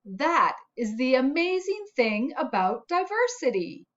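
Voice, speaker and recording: Woman reading as a slow, friendly storybook spot. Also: sits high, sing-song, dull audio. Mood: upbeat, encouraging. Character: friendly encouraging storybook spot, cheerful helper for children. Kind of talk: children's storybook narration.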